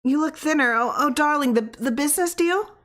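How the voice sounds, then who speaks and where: A woman narrator puts on a doting, cheerful wife from a short story. The voice is lifted high, brisk and coaxing, full of affection. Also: fairly bright tone. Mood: concerned, doting. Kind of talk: dramatized story reading.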